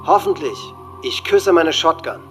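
A menacing teenage gunman, higher-pitched. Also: very animated, measured pace, soft background music. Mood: cold menace. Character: teenage male school shooter, coldly menacing and boastful. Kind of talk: documentary radio drama acting.

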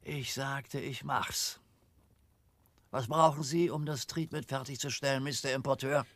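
An actor playing a bored, decisive film producer in a radio drama. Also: male voice, medium pitch, steady tone, unhurried, a bit bright, no room sound.

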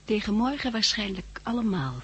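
A frank young woman in a sixties radio drama. Her voice is very husky and breathy, at a middling pitch, with a practical, candid and lightly teasing delivery. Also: expressive, normal speed, fairly bright tone, full character voice. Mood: matter-of-fact. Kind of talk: 1960s Dutch radio drama acting.

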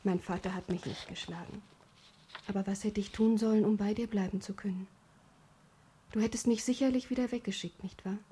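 An actress playing a lovestruck, headstrong young woman in a German radio crime drama, breathy, intimate and emotional. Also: medium pitch, fairly even intonation, brisk, mellow, a little hiss or hum, natural voice. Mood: intimate, tender. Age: young adult. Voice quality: breathy, often near whispering.

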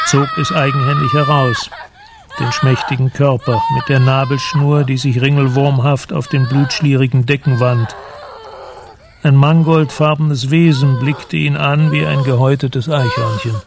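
A man narrating a literary radio drama in a measured, sombre storytelling manner. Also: medium pitch, expressive, vocal fry, noisy background.

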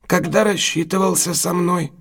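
An actor in an audio drama playing a deferential, wary but honest London cab driver, husky and halting, speaking respectfully to his betters. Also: sits high, fairly even intonation, fairly bright tone, heavily acted. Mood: earnest recounting.

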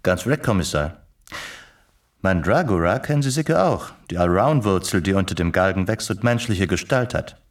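A man's voice: sits low, expressive, normal speed. A man playing an eccentric, erudite English reporter and secret agent in a German radio play, with an urbane, lecturing, sardonic manner. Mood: learned relish. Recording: slightly distant radio play recording.

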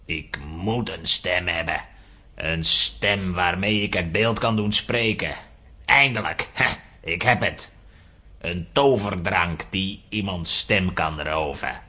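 A man playing a sinister, scheming sorcerer villain in a children's audio drama, with a deliberate, menacing delivery. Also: sits low, pushed and bright, exaggerated voice.

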